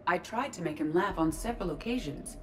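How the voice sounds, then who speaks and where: A woman's voice: lowish voice, lively intonation, slight background noise, natural voice. A woman playing a composed, analytical artificial intelligence in a video game, speaking sincerely and precisely as if giving a eulogy. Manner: precise, measured.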